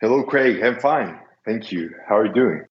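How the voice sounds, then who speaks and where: A young man from Mexico speaking English with a Mexican Spanish accent as a podcast guest, careful and halting, recorded on a dull, echoey line. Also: on the low side, lively intonation. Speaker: guest, business seller.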